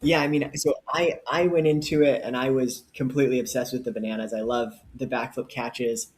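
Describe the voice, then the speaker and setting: A man's voice: medium pitch, fairly even intonation, brisk. A man co-hosting a podcast, telling stories casually and with enthusiasm. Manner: enthusiastic storyteller. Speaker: podcast co-host.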